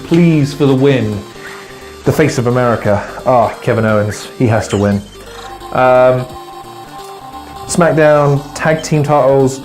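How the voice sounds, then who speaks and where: A man's voice: medium pitch, a little mellow. A man hosting his own podcast alone, rambling through predictions, swearing often and losing his words. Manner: swearing, rambling, with fillers and trailing restarts. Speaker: podcast host. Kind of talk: solo podcast monologue.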